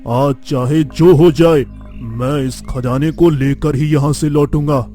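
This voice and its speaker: A man acts out a fearless young village hero for a cartoon tale. His voice sits a little high, and he speaks in earnest, declaiming lines with stubborn confidence. Some music plays underneath. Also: steady tone, takes it slowly, mellow. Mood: bold, defiant. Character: determined, boastful eldest son, fearless young village man. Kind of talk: dramatic Hindi cartoon story dialogue.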